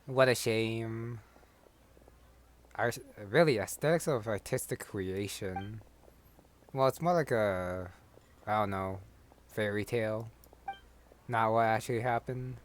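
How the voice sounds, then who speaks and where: A man's voice: fairly low pitch, takes it slowly, pauses often, slight background noise. A man giving casual let's-play commentary, muttering wry asides to himself. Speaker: gaming channel host.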